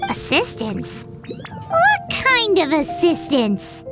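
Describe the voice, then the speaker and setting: A tiny, sceptical fairy companion from a video game, squeaks along in a very high childlike voice. The delivery is cheeky and swings up and down, over loud music. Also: female voice, huge pitch swings, slow, exaggerated voice. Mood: curious. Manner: cheeky, refers to self in third person. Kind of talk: English video game voice acting. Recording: clean game audio under loud music.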